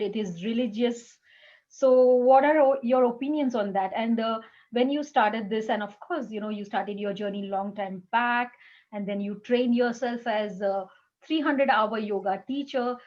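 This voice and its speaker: A woman hosting a chat show, talking in a halting way with many fillers as she introduces her guest. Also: a little high, darkish tone, lo-fi sound. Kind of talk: casual interview chat.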